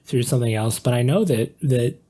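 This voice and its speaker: A man speaks in an informal podcast conversation. His voice is husky and sits at a middling pitch. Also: fairly quick, mellow. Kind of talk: casual podcast chat.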